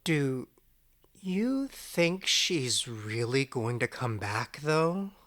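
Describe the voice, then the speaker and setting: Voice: medium pitch, very animated, extremely slow, fairly bright tone, heavily acted. An actor playing a hot-headed, sardonic lover in an occult audio drama, with a blunt, teasing way of talking. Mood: doubtful, worried.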